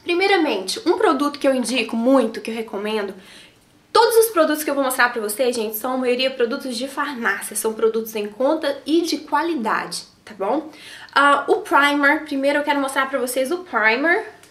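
A woman's voice: sits high, lively intonation, normal speed, a bit bright. A Brazilian woman in her thirties, a makeup artist talking to camera in a beauty tutorial, chatty and affectionate, her voice slightly nasal from a cold. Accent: Brazilian Portuguese. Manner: chatty, affectionate, ends phrases with tag questions. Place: small indoor studio in front of a logo backdrop. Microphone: camera framing head and shoulders. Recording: roomy echo.

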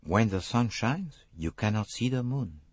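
A courteous, shrewd older foreign detective. He speaks formally and with slow emphasis, his husky voice moving in lively swings of pitch. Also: dry recording. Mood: gently musing.

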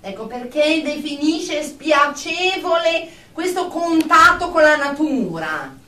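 In a roomy, echoing radio drama, a woman plays a controlling therapist with a very high voice. She is measured, slow and coolly officious. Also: lively intonation, pushed and bright, exaggerated voice. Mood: clinical. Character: stern, formal, controlling female therapist. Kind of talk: Italian radio drama acting. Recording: echoey room, distant mic.

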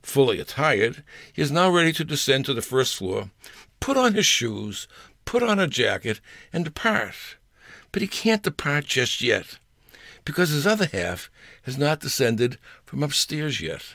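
A man's voice: medium pitch, very animated, unhurried, sharp tone, no echo, clean studio sound. An older man reading his own humorous personal story aloud in a wry, storytelling manner. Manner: wry storyteller with playful voices.

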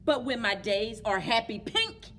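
Very expressive woman reading to young children. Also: on the high side, a little slow. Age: middle-aged. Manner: animated, encouraging, speaks to young children. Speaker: children's librarian hosting story time. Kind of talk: children's story time, sing-along and read-aloud. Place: indoors among library bookshelves. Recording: clear background noise, room ambience.

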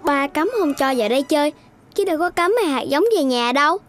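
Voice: high-pitched, fast, close to an everyday voice. A performer voicing a small cartoon youngster, responsible, knowing and a bit bossy, with a childlike voice. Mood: grumbling. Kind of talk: animated children's cartoon acting. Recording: loud music behind. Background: loud background music.

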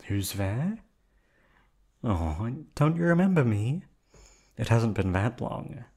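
A man's voice: mid-range voice, big pitch swings, takes it slowly, darkish tone, husky, exaggerated voice. A man voicing an obsessive male yandere hotel receptionist in an ASMR drama, silky and polite, sliding into menace and close whispers. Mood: whispery. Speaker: voice actor. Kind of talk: ASMR roleplay audio drama.

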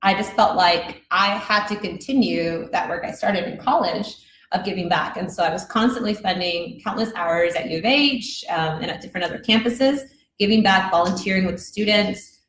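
A woman's voice: fairly low pitch, fairly bright tone. A young woman from Mexico, a podcast guest, telling her story in an earnest, reflective way at a desk mic in an office room. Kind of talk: casual interview chat. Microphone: desk microphone. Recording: echoey room, faint noise.

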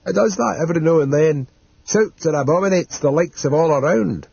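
Man playing a dour, husky country servant. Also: a little high, brisk, a little mellow, no echo, dull audio, voice pushed up. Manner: dour, dialect turns of phrase. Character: gloomy, plain-spoken male servant, dryly scheming.

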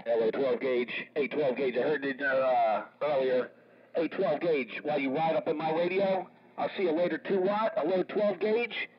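High-pitched man on muffled CB radio. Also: steady tone. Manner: repetitive catchphrases and drawn-out call signs. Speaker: CB radio operator. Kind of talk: CB radio chatter. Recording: muffled CB radio transmission with crowded chatter.

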